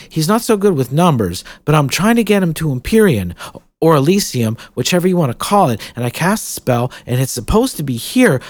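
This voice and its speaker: A woman's voice: deep voice, darkish tone, no echo, voice pushed up. A man voicing a young, nervous witch girl who rambles hesitantly with lots of fillers. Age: young adult.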